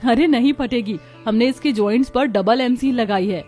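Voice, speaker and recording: Woman voicing high-pitched confident village daughter-in-law, cartoon. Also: normal speed, a little mellow, faint music behind, natural voice. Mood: assured. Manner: instructive, assured. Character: confident eldest village daughter-in-law patiently explaining her homemade plant. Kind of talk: scripted cartoon dialogue.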